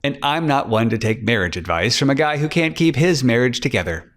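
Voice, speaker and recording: A man's voice: mid-range voice, animated, fairly quick, voice pushed up, lightly acted. A man voicing a weary, blunt private detective boss in a crime audiobook, terse and matter-of-fact. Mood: scolding.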